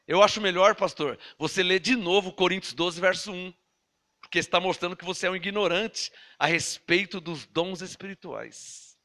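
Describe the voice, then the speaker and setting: A man's voice: high-pitched, moderate pace, pushed and bright. A Brazilian man with a Brazilian Portuguese accent preaching a sermon, emphatic and scolding, throwing rhetorical questions at his listeners. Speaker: pastor.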